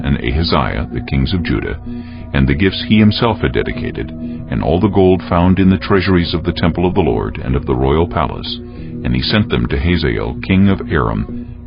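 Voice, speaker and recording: Solemn male narrator for dramatized scripture: rock-bottom pitch with expressive swings, measured storytelling delivery. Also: vocal fry, highs cut off.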